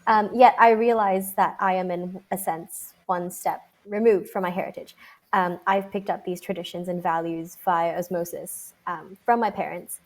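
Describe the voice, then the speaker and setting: A young woman from Singapore moderating a panel discussion over a video call, reflective, with frequent um fillers. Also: on the low side.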